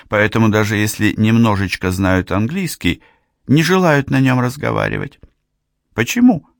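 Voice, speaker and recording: A man's voice: fairly low pitch, no room sound, clean studio sound. A man reading an audiobook voices a friendly middle-aged female hairdresser from Ghana, talking in a chatty, confiding way. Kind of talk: audiobook character voice.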